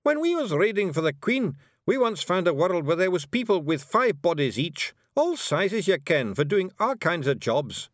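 Rob Anybody, a loud Scottish fairy. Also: male voice, sits high, sing-song, moderate pace, few pauses, no room sound, clean studio sound, pitched above the performer's own voice. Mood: boastful. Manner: loud, boisterous, cheerful. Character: tiny brash male fairy warrior chief.

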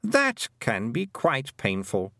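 A man's voice: medium pitch, wildly swooping pitch, slow, creaky, pushed and bright, dry recording, studio quality, exaggerated voice. A man voicing an imperious fairy queen in a storybook, haughty and demanding, with a sweetly menacing condescension. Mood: sweet menace.

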